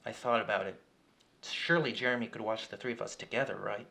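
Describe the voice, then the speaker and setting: A man's voice: on the low side, quick pace, vocal fry, pushed and bright, rough recording. A man reading a scripted first-person horror story as its storyteller. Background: music.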